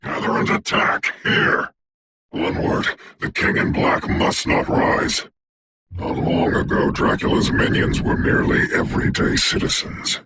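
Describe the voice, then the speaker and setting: An actor voicing a commanding, haughty dark sorcerer for a video game, speaking in a formal, grandiose, deliberate way with a gravelly, breathy voice. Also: male voice, background noise, natural voice. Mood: grave, urgent. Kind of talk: theatrical hero game voice lines.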